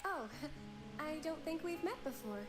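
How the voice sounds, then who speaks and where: Sweet high-voiced young acolyte. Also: female voice, expressive, a little slow. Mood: flustered. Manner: polite, earnest, slightly flustered. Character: Phoebe, gentle polite earnest young church acolyte woman. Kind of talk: video game voice acting. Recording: loud music behind the voice.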